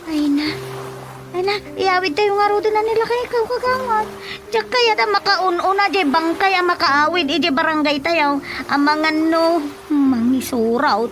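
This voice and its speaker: An actress playing an excitable, gossipy neighbour woman in an Ilocano radio drama, full of exclamations. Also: extremely high pitch, moderate pace. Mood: exclaiming. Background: prominent background music.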